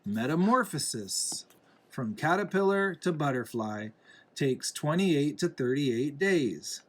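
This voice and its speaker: A man reading a children's picture book aloud in a deliberate way, pausing between phrases. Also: fairly high pitch, lively intonation. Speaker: reader, parent reading to a young child.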